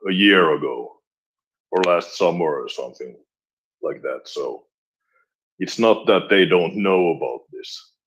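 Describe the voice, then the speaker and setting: A man chatting casually on a live audio space, asking relaxed, curious questions. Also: low-pitched, measured pace, pauses often, highs cut off.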